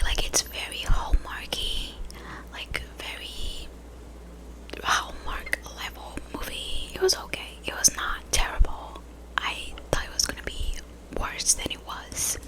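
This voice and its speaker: Whispering woman, ASMR ramble. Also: low-quality recording. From Brazil. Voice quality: soft breathy whisper. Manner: rambling, casual, backtracking. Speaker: ASMR video creator.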